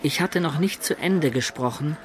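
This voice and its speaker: A man's voice: a little high, moderate pace, faint music behind, lightly acted. An actor playing a traveller who narrates his own homecoming adventures in a radio play. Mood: vivid. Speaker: first-person narrator.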